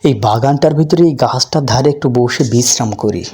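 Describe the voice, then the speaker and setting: A man's voice: medium pitch, brisk, a little mellow, slightly rough, natural voice. A man voicing an earnest, hopeful poor street vendor who thinks aloud in a folk tale cartoon. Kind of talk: cartoon voice acting.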